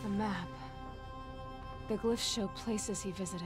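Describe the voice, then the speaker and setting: A woman's voice: mid-range voice, fairly even intonation, takes it slowly, natural voice. Cool, resolute young warrior woman played by an actress. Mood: focused, resolute. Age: young adult. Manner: cool, matter-of-fact, resolute. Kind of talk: naturalistic video game acting. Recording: game music behind dialogue. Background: cinematic game music.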